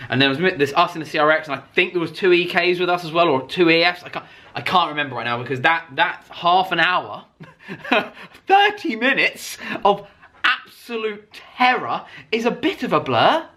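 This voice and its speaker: A man telling a personal story like a vlogger, casually and excitably, with emphatic swearing and acted-out reactions. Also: high-pitched, normal speed.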